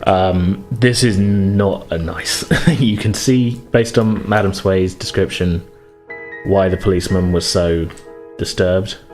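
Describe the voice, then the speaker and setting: A man's voice: sits low, steady tone, slow, a little mellow, soft background music. A man narrating a tabletop horror game as game master, phrasing things hesitantly as he improvises.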